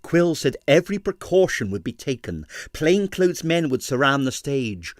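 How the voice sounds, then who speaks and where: A man's voice: medium pitch, sing-song, moderate pace, no room sound. Man narrating expressively, very dry sound. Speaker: audiobook narrator. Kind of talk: scripted literary narration.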